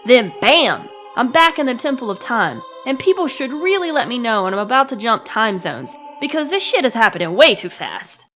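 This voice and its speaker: Young woman narrating sarcastically, animated pitch. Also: normal speed, hardly any pauses, faint music behind. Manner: sarcastic, crude, emphatic. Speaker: host of a comedic gaming web series. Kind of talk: scripted comedic narration as a diary entry.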